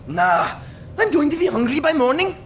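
A selfish, greedy boy, played by a woman, whines and bosses his sister around in a comedic audio drama. The voice is high and jumps all over the place, with faint noise underneath. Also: very animated, normal speed. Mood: bickering. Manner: whiny, mocking, bossy.

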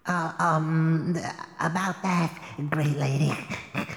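Echoey, stammering, timid bat lackey. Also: female voice, sits low, fairly even intonation, slow. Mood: anxious. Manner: nervous stammering, obsequious and hesitant. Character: timid, fawning bat henchman of a villainess. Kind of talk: fairytale audio drama acting. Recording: echoey cave-like reverb, faint noise.

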